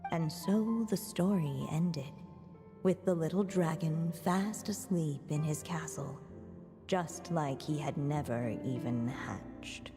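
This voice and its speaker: A woman playing a gentle storybook narrator in a video game, telling a fairy tale in measured, solemn phrases. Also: deep voice. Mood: soothing, measured. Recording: long reverb, music behind. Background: music.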